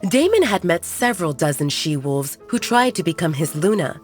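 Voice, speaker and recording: A woman's voice: on the low side, very animated, moderate pace. A woman narrating a scripted romance audio drama as an audiobook storyteller, with music behind her. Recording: music bed under the voice. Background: background music.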